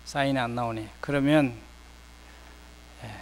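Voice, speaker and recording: An older man from South Korea preaching a sermon with personal anecdotes, speaking conversationally in short, unhurried phrases. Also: medium pitch, steady tone, a little hiss or hum, poor audio.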